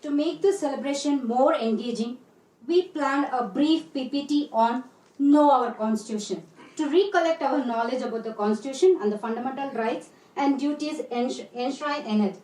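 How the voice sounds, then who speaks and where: A woman's voice: sits high, normal speed, dark tone. A woman with an Indian accent, formally announcing a scripted programme as master of ceremonies. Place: indoor function hall with PA. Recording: echoey hall, distant microphone.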